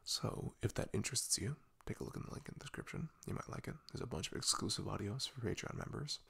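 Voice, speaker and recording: A man giving a soft-spoken update as an ASMR audio creator, hushed and often slipping into a whisper. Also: lowish voice, animated, fast talker, creaky, slightly rough.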